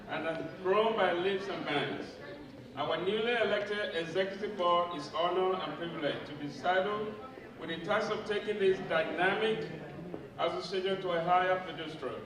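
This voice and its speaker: A man with a high voice delivers a ceremonious speech at a gala, measured and formal. The sound is very echoey and captured from far off in a big hall. A crowd of guests murmurs behind him. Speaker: association president. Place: large event clubhouse hall. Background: audience crowd.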